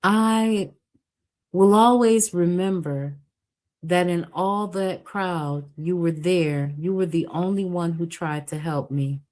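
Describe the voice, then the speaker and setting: A woman's voice: on the low side, slow pace, a little mellow. A woman voicing a shy, earnest young village woman in a storybook reading.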